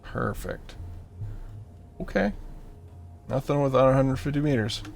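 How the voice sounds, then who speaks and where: A man's voice: mid-range voice, lively intonation, a little slow, gravelly, a little mellow, slight background noise. A man giving casual gameplay commentary, thinking aloud and muttering to himself with playful asides. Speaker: gaming channel host.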